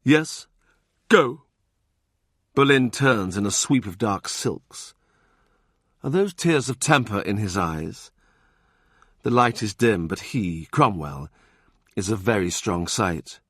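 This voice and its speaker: A man narrating an audiobook in a calm, measured way. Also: on the low side, dry recording, clean studio sound. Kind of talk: scripted narration.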